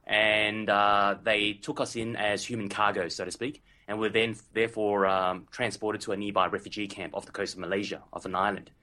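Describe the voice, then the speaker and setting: A man in his forties with an Australian accent tells his story as an interview guest. His slightly low voice is bright and forceful, and he speaks earnestly with plenty of filler phrases. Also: flat delivery, normal speed, hardly any pauses. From Vietnam. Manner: earnest, leans on "literally" and "so to speak". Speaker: podcast guest.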